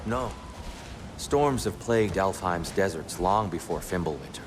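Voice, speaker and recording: Peace-minded traveler, low voice, formal, gentle, game character, background music. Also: male voice, expressive. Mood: somber, informative. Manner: polite, measured, formal. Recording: music under the voice.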